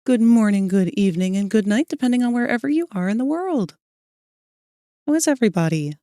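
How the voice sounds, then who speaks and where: A woman's voice: medium pitch, expressive, a little fast, dark tone, no echo, clean studio sound. Chatty woman streamer with a scratchy voice. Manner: chatty, greets viewers, laughs and clears throat often. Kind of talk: casual stream chat. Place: cold room at home.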